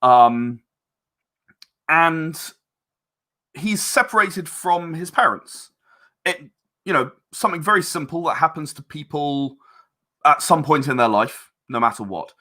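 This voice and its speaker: Man, bright higher-pitched voice, enthusiastic guest. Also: lively intonation, a little slow, long pauses. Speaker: interview guest, audio drama creator. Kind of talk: enthusiastic interview talk about own project.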